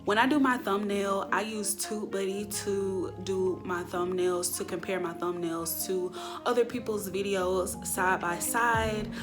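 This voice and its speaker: A woman with a slightly raspy voice talking casually to viewers, explaining tips like an online creator. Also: mid-range voice, steady tone, a little slow. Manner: addresses viewers directly, step-by-step explaining. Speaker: YouTuber giving channel growth tips. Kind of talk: casual instructional vlog talk to viewers. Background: background music.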